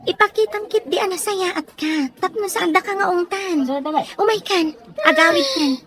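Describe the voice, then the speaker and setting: A woman's voice: very high-pitched, lively intonation, moderate pace, a crowd in the background. A woman with a Filipino accent playing a sensible young female friend in an audio drama, coaxing and polite. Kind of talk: amateur audio drama acting.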